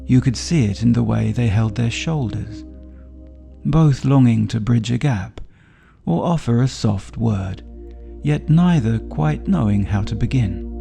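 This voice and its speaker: A man narrating a sleep story for adults as a storyteller, with a soothing, gentle, unhurried delivery. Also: mid-range voice, animated, creaky, faint music behind. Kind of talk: slow bedtime story narration.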